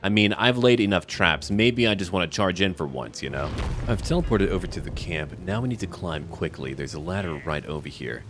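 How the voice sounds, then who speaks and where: A man giving casual let's-play commentary, thinking aloud in a chatty, relaxed way and calling out to his party. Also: low-pitched, brisk, faint music behind. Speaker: gamer playing a role-playing game.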